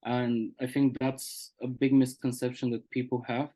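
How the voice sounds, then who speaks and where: Young male guest from Bulgaria, Bulgarian native, mid-pitched voice, reflective and earnest in interview. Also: level tone, moderate pace, mellow. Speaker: guest poet. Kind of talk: interview answers.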